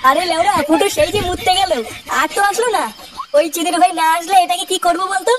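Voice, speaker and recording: A high-voiced, bossy cartoon gang leader. He scolds and orders in quick slang, with faint noise behind. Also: steady tone. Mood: scolding. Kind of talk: comedy cartoon dialogue.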